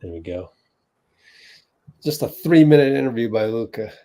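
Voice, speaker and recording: A man's voice: mid-range voice, expressive, moderate pace, warm tone. A man hosting a casual livestream chat, talking in an offhand way while juggling tasks. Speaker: livestream host. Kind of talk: casual live-stream chat.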